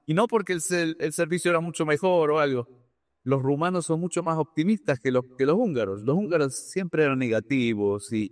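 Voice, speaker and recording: A man's voice: mid-range voice, dry recording. Man answering interview questions, dry close recording. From Argentina. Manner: halting, repeats words, drops in English terms. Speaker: business executive being interviewed. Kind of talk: conversational interview answers with anecdotes.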